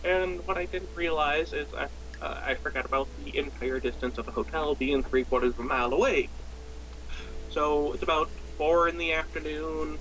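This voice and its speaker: A man's voice: on the high side, steady tone, moderate pace, fairly bright tone, slight background noise, rough recording. A young American man telling a personal story casually, in a rambling, self-deprecating way. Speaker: podcast storyteller. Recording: background music throughout. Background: music.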